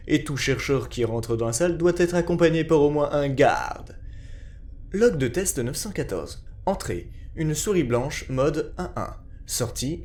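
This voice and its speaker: A man's voice: medium pitch, a little mellow. A man giving a scripted narration in a formal, clinical report style.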